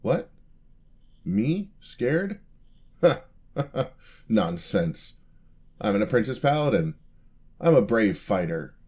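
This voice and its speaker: A man plays a young apprentice paladin in a fantasy audio roleplay. His voice is low and he speaks slowly, with a polite, eager and slightly clumsy charm. He chats hesitantly and gets flustered easily as he addresses the listener. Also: very animated, darkish tone, slightly rough, full character voice. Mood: startled, boastful.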